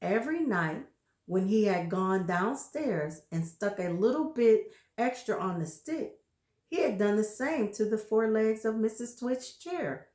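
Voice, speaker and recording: A woman reads a children's book aloud, slow and unhurried. Her voice is lively and fairly high, and the room gives it a noticeable echo. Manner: expressive, pauses to chat about pictures. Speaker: reader. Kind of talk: read-aloud storytelling for children.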